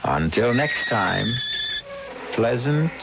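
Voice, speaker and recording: A man playing a macabre mystery-radio host with a creaky voice and theatrical delivery. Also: mid-range voice, big pitch swings, takes it slowly, exaggerated voice. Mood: ominous. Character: macabre male host bidding listeners goodnight. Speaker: radio mystery show announcer. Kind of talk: slow, theatrical 1970s radio drama sign-off. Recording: dull old radio recording, heavy noise.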